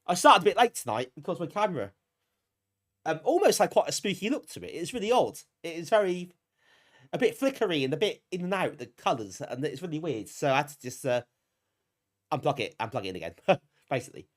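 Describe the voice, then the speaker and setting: Lively, higher-pitched British man, bunged up. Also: fairly quick. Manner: chatty, self-deprecating, appreciative of viewers. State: bunged up, getting over a cold. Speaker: live streamer hosting a retro gaming stream. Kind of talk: casual chat with live stream viewers.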